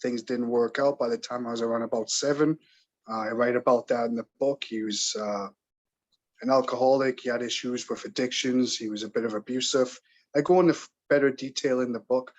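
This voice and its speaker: A man in his forties from England, answering interview questions in a measured, reflective way that halts now and then. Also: medium pitch, fairly even intonation. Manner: measured, reflective, halting at times. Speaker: guest.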